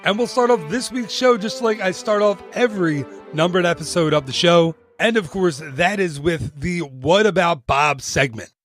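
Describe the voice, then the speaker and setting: Man, podcast host, upbeat promo read, chatty, self-deprecating, higher-pitched voice, dry close studio sound. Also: normal speed, few pauses, a bit bright, no room sound, faint music behind. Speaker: podcast host and travel agent. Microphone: studio mic.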